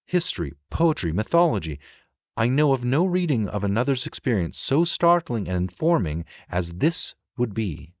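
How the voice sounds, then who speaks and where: A man narrating a literary audiobook in a measured, formal and expressive reading manner. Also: mid-range voice, no echo, muffled recording.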